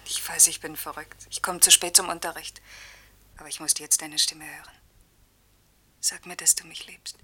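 An actress playing an anxious, lovestruck teacher in a radio play, needy and pleading, often dropping into a whisper. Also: female voice, sits low, level tone, moderate pace, pauses often, sharp tone. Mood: urgent, yearning. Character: anxious lovestruck female teacher, secretly phoning her lover. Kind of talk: German radio play acting.

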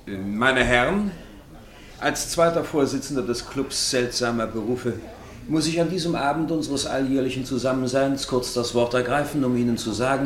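A man's voice: mid-range voice, lightly acted. A man acting in a German radio play as a ceremonious deputy club chairman, making a formal, declamatory announcement. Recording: echoey room.

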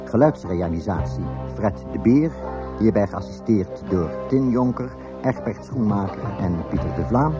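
A man's voice: on the low side, lively intonation, unhurried. A man reading scripted narration as the announcer of a radio drama. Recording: dull radio recording over music.

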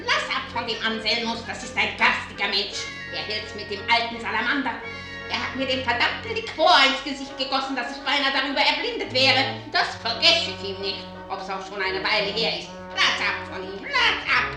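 Casting: actress for vengeful old hag, radio drama, high cackling croak, theatrical sing-song, echoey. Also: female voice, lively intonation, brisk, lightly acted. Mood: spiteful warning. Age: older. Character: gaunt old witch fortune-teller, cackling, vengeful, wheedling. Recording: echoing studio sound over music.

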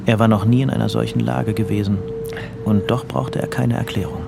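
A man's voice: fairly low pitch, fairly even intonation, a little fast, vocal fry, over loud music. A man narrating a literary radio drama from a script.

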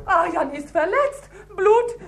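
Shrill, hysterical wailing woman. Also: animated, vocal fry, over music, exaggerated voice. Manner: wailing, melodramatic, halting. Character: Nancy, hysterical panicking woman mixing in German words. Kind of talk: radio drama acting.

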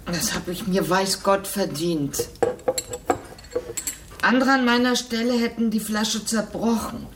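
An actress playing a loyal, plain-spoken maidservant in a radio drama, blunt and familiar. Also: female voice, mid-range voice, slow pace, long pauses, distant mic, background noise, close to an everyday voice. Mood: sturdy, dutiful.

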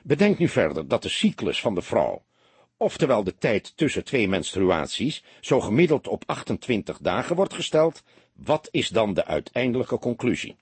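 A man voicing an older, experienced police detective in an audiobook, a patient mentor who explains deliberately with mild reproach. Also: fairly low pitch, sing-song, husky, dry recording, exaggerated voice. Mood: lecturing. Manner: didactic, deliberate, mildly reproachful. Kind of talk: audiobook character dialogue.

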